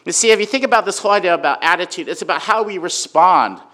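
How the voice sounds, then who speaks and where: Loud-voiced man preaching casually. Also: high voice, fast. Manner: conversational, filler-heavy, asks "right?" often; says he has a really loud voice. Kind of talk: informal sermon with personal story.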